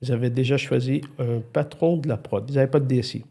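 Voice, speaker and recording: A man's voice: mid-range voice, fairly quick, gravelly. A middle-aged man from Quebec with a Québécois accent, telling stories as a guest in a casual conversation. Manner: lively, animated storyteller, frequent restarts. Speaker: podcast guest, IT executive.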